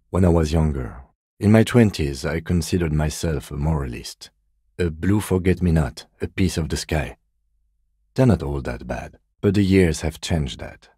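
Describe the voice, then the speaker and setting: A reserved, middle-aged male police detective from a video game. His voice is extremely deep and soft-edged, recorded dry and close. He speaks in measured, formal phrases with understated, principled restraint. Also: slightly rough, no echo, heavily acted. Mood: earnest, reserved. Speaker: voice actor.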